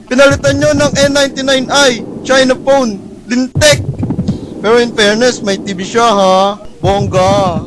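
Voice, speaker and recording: A man's voice: extremely high pitch, little pitch movement, a little slow. A man voicing a flamboyant, boastful male alien invader in an amateur radio drama, with a sassy, mocking delivery. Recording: noisy amateur recording.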